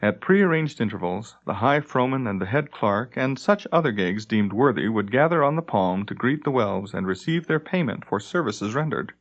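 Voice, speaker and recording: A man's voice: mid-range voice, expressive, normal speed, few pauses, dull audio. A man reading scripted fantasy narration as an audiobook narrator.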